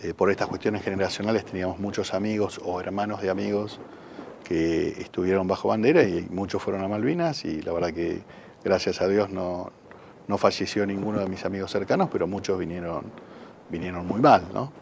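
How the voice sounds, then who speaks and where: Man, Buenos Aires accent, deep register, fry-laden, conversational interviewee, noisy background. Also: fairly quick, darkish tone. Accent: Buenos Aires Argentine. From San Isidro, Buenos Aires, Argentina. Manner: frequent rhetorical "¿no?" tags. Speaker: local politician, council president, interview guest. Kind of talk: conversational interview answers, personal recollection.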